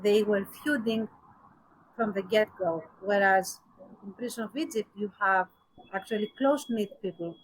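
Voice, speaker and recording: A woman co-hosting a casual podcast discussion, talking thoughtfully with pauses and emphasis on key words. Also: medium pitch, pushed and bright, low-quality recording. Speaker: podcast co-host.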